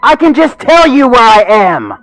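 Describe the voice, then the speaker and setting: Male reader doing a raised-pitch, lively, bossy husky girl who snaps at her partner. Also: moderate pace, no echo, rough recording. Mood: snapping. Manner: curt, impatient, snapping. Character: sharp-tongued bossy female husky. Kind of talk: storybook character voice.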